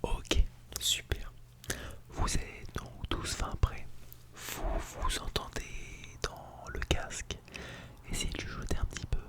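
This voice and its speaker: An ASMR artist speaking in a soft, unvoiced breathy whisper, playing an instructor giving a lightly playful lesson, recorded close on a binaural microphone. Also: takes it slowly. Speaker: ASMR artist role-playing as a teacher at an ASMR school. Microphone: close Blue Yeti microphone in binaural mode.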